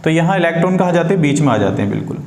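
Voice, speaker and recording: A man's voice: fairly high pitch, very animated, racing, darkish tone. A man teaching chemistry informally, repeating key phrases, asking and answering his own questions and mixing in English technical terms. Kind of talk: informal classroom-style lesson for students. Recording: roomy echo with faint background noise.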